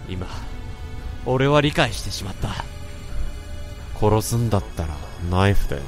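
A man's voice: fairly low pitch, expressive, moderate pace, faint music behind, lightly acted. An actor playing a tense office worker who narrates his own inner thoughts in a radio drama. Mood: brooding. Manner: tense, brooding, rising to urgent shouts. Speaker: narrator and protagonist.

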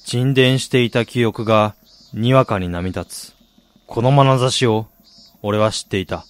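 An actor playing a weary middle-aged failed businessman, narrating his regretful past in a measured, reflective way for a radio drama. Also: medium pitch, no echo, close to an everyday voice. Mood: uneasy, reflective. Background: music.

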